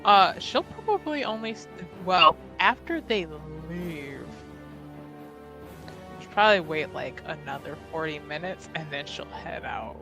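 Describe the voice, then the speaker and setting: A woman chats casually as a player in a tabletop game. She has a bright, forward tone with plenty of vocal fry, and light music sits behind her. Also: low voice, expressive, a little slow. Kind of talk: casual improvised chat about her character.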